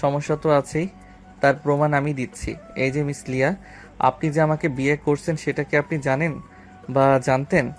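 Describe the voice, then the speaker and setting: A man's voice: on the high side, flat delivery, moderate pace, soft background music, close to an everyday voice. A man acting a bitter young self-made businessman, a wounded lover, in a romantic audio story. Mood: defiant, accusing.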